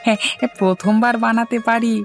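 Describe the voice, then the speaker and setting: A woman's voice: a little high, steady tone, fairly quick, faint music behind, natural voice. A woman voicing an eager, boastful household servant, deferential yet self-assured, in a Bengali cartoon. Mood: proud.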